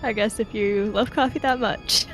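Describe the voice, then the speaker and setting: A woman's voice: mid-range voice, steady tone, moderate pace, soft background music. A woman chatting casually and roleplaying as a player in a tabletop game.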